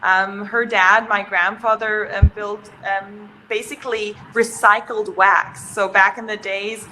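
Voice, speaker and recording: A woman in her thirties, raised in Switzerland, talks through a video call from a room at home. Her voice is bright and clear, at a middle pitch, with faint noise underneath. She chats loosely, laughs often and restarts her sentences. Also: flat delivery, unhurried, low-quality recording. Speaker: startup founder, guest. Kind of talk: casual interview on a video call. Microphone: video call webcam.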